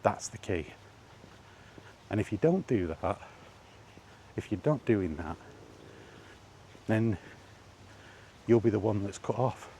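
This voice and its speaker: A man's voice: fairly low pitch, lively intonation, slow, pauses often, mellow, a little hiss or hum. A man and gaming vlogger giving a blunt, emphatic opinion rant while walking outdoors by allotments.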